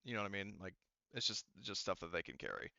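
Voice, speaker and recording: A man running a tabletop roleplaying game as game master, narrating off the cuff in a casual, halting, rambling way. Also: lowish voice, sharp tone, no room sound, dull audio.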